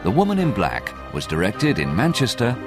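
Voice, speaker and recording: A man's voice: on the low side, wildly swooping pitch, normal speed. A man reading scripted closing credits as a radio announcer. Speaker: continuity announcer. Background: music.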